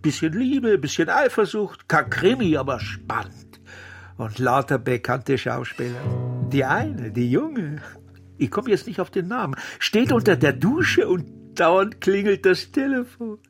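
An actor playing a nosy, rambling, opinionated neighbour who phones the police with tips in a radio crime drama. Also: male voice, high-pitched, sing-song, measured pace, faint music behind, full character voice. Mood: rambling, knowing.

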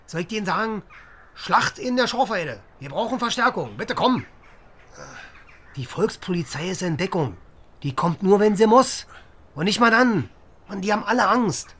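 Brash, animated military pilot. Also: male voice, high voice, normal speed, long pauses. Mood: sarcastic, exasperated. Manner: sweary, agitated, sarcastic. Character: brash, cynical East German lieutenant, helicopter pilot. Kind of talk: naturalistic radio crime drama.

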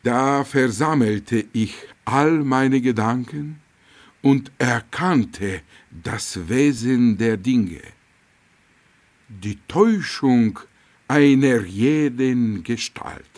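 An actor playing a serene holy master of compassion in a radio drama, solemn and measured, with long pauses. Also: male voice, mid-range voice, darkish tone. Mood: solemn recollection.

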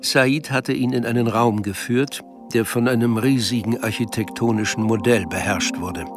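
A man's voice: medium pitch, moderate pace, music in the background. A man reading scripted literary narration as the narrator of a radio drama.

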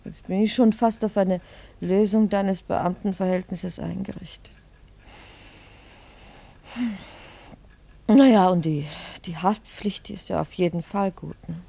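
A chatty wife of medium pitch in a radio play. She is soft-hearted and cheerfully stubborn. She coaxes and gets excitable as she talks. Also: normal speed, vocal fry. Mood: indignant, insistent. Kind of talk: German radio play acting.

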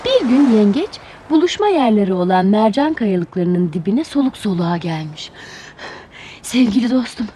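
A woman's voice: medium pitch, very animated, moderate pace, few pauses, warm tone, a little hiss or hum. A woman narrating a children's fairy tale expressively, as a storyteller.

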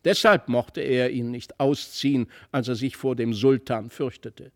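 A man's voice: medium pitch, steady tone, measured pace, no room sound, studio quality, lightly acted. A man voicing a headstrong, scornful young boy with an emphatic delivery, in a dramatic storybook reading. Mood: indignant.

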